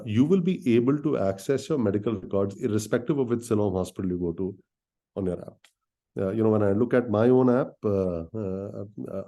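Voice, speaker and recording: A man speaking as a company executive in a business presentation, explaining things directly to listeners in halting phrases with many filled pauses. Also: on the low side, dark tone. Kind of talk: business presentation on an earnings call.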